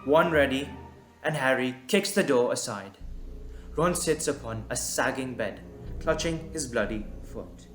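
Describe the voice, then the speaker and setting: A man reading scripted dramatic narration in a deliberate, suspenseful manner. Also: mid-range voice, a bit bright. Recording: echoey, music underneath. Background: music.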